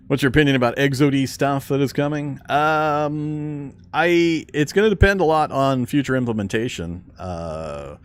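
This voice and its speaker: A man's voice: mid-range voice, unhurried, clear, resonant tone. A man streaming a video game, chatting casually and answering viewer questions with a fairly strong speaking voice. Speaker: gaming streamer. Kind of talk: casual live-stream chat while gaming.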